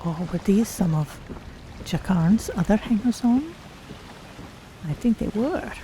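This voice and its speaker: A woman voicing a gentle, courteous female cat-folk traveler, musing as she streams a video game. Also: medium pitch, big pitch swings, slow pace, rough recording. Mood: polite, musing. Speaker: streamer role-playing a game character. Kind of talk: in-character commentary on a video game. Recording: faint game ambience noise.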